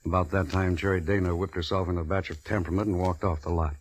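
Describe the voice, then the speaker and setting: A very low, husky male voice as a smooth Hollywood star feeling sorry for himself. He speaks in a languid, flirtatious, brooding way, in the style of 1940s radio drama. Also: steady tone, fast talker. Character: smooth, self-pitying Hollywood actor and old flame.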